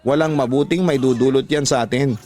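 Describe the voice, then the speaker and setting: A man's voice: medium pitch, steady tone, fairly quick, darkish tone, soft background music, close to an everyday voice. A man playing a frustrated husband in a radio drama, emphatic, scolding and pleading. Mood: angry scolding. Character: frustrated husband pleading with gambling-addicted wife. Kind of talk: Tagalog radio drama acting.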